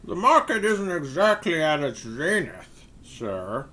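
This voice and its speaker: Fussy frontier clerk, male actor: high, extremely sing-song, unhurried, obsequious and precise, audio drama. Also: very animated, sharp tone, a little hiss or hum, exaggerated voice. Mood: apologetic. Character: courteous, fussy old-west silver dealer clerk, male.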